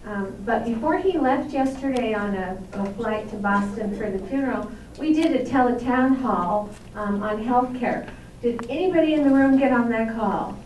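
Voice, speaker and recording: A woman's voice: fairly high pitch, normal speed, a little mellow, a little hiss or hum. Upbeat woman talking in an echoey hall. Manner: chatty, upbeat, with many ums. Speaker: senator's staff representative. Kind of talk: public speech at a town hall. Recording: echoey hall recorded from far off.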